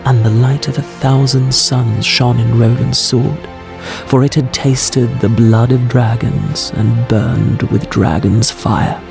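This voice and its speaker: Male actor as doomed fantasy warrior: gravelly, grave, declamatory, over loud music. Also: medium pitch, fairly even intonation, takes it slowly. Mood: grand, intense. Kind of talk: dramatic fantasy audio drama delivery.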